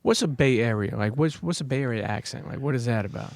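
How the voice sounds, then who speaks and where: A man's voice: mid-range voice, lively intonation, fast talker, vocal fry, no echo. Chatty man with a New York accent. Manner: loose, talkative, lots of fillers and restarts. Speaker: podcast host. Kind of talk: casual interview chat.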